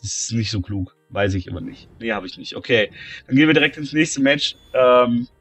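A man with a cold, commentating a video game wrestling tournament, shouting at the big moments and calmer between them. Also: a little fast, husky, soft background music. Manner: shouts and gets high-pitched at big moments, calmer when tallying scores. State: has a cold, slightly hoarse. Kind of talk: excited play-by-play commentary.

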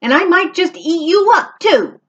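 A woman's voice: very high voice, big pitch swings, a little slow, dull audio, voice pushed up, full character voice. A woman reading aloud, voicing a small, boastful male kitten who talks politely with puffed-up bravado.

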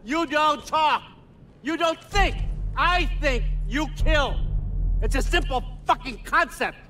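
A man's voice: very high-pitched, lively intonation, takes it slowly, a little hiss or hum, full character voice. An actor playing a cruel, domineering old god and father in a game cutscene, belittling and imperious. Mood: furious contempt. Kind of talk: dramatic game cutscene acting. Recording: loud dramatic music behind.

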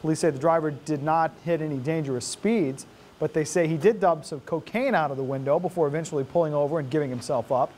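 A man's voice: a little high, fast, darkish tone, slight background noise. An American man in his thirties reading scripted news as a TV anchor. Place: TV news studio. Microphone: lapel mic.

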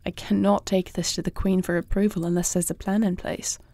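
A woman playing a poised young warrior princess in a fantasy audio roleplay, speaking with formal, measured, regal diction. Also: fairly low pitch, flat delivery, warm tone, dry recording. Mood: resolute. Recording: faint night cricket ambience.

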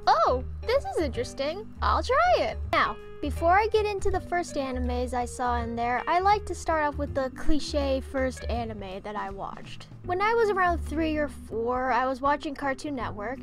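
A young woman with a high voice narrates a storytime video. Her delivery is expressive and theatrical, like a vlogger telling personal stories to viewers. Music plays behind her. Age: young adult. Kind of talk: animated storytime vlog narration.

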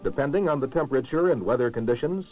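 A man reading scripted narration for a 1960s educational documentary, formal and measured, with clear enunciation. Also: medium pitch, expressive, soft background music. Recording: muffled lo-fi 1960s film soundtrack.

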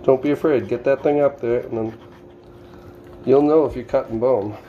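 Middle-aged man, Boston accent, mellow, casual how-to instructor, home kitchen with faint room noise. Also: medium pitch, moderate pace, highs cut off. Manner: plainspoken, instructive, talking while working with his hands. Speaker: home cook demonstrating fish filleting. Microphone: camera on the counter.

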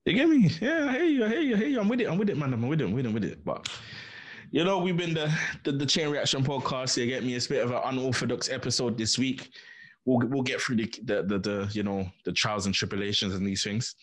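A man's voice: mid-range voice, fast talker. Laid-back man on a podcast. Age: 30s. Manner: laid-back, laughs a lot, repeats phrases. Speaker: podcast co-host. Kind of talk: casual chat. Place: small home studio, indoors. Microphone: studio mic on arm, headphones.

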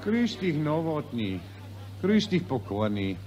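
An actor playing a chatty, muddled Austrian officer with a Viennese accent in a 1940s radio play. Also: male voice, a little high, big pitch swings, slow pace, a little mellow. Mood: muddled, jovial. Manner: bumbling, questioning, self-correcting. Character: chatty, dim-witted Austrian army officer, easily muddled.

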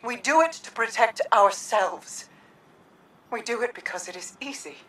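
An actress playing a regal, composed queen in a science-fiction video game, speaking formally in a grave, measured way. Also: a little high, expressive, fairly bright tone, slightly rough, full character voice, poor audio. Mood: earnest, compassionate. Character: regal, solemn alien queen, composed and enduring.

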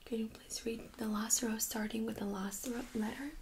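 A young woman speaks in a soft, breathy, whispery voice, gently and politely giving step-by-step instructions in an ASMR medical role-play. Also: on the high side, steady tone, moderate pace, slight background noise. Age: young adult. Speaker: ASMR performer playing a medical examiner. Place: small indoor studio room.

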